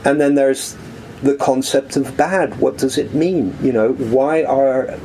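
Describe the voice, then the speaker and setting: A man talking as a podcast guest in a living room, reflective and halting, with frequent restarts as he thinks aloud. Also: mid-range voice, steady tone, warm tone, slight background noise, poor audio. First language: English. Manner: thoughtful, halting, many restarts. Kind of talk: reflective conversational interview.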